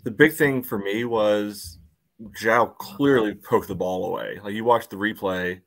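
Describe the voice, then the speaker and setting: A man's voice: fairly low pitch, measured pace, fairly bright tone. A man giving casual match analysis as a soccer podcast panelist on a video call, often padding with filler phrases.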